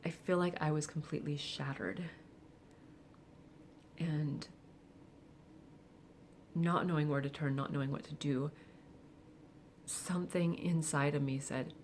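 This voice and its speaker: A woman of forty speaking candidly and reflectively in a personal vlog, with soft laughs and hesitant fillers. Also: low-pitched, fairly even intonation, a little slow, pauses often, a little hiss or hum. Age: 40s. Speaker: vlogger. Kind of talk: personal confessional monologue.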